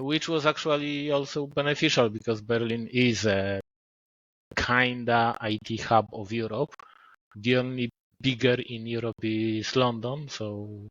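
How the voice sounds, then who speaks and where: A man in his forties, originally from Poland, talks in a calm, measured way, stopping often to rephrase himself. The recording is dry and dull, like a modest remote mic. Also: medium pitch, dry recording. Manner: measured, pauses and rephrases mid-sentence. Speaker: guest author. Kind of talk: casual podcast interview.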